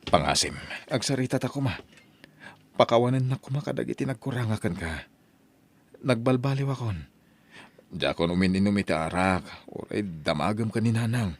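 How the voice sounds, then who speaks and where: A man acts a regretful husband in an Ilocano audio drama. His voice sits low, with the humble delivery of a reformed drinker. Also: animated, normal speed, long pauses. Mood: pleading.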